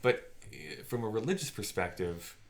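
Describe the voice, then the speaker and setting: Male co-host, deep voice, informal podcast chat, musing and self-correcting. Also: animated, moderate pace. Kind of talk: casual conversational discussion.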